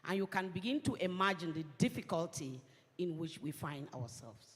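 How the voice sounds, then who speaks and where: A woman from Cameroon, speaking as a panelist in measured, earnest phrases. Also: fairly low pitch, expressive. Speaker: panelist, women's organization coordinator. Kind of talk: conference panel remarks. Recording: long reverb of a large hall.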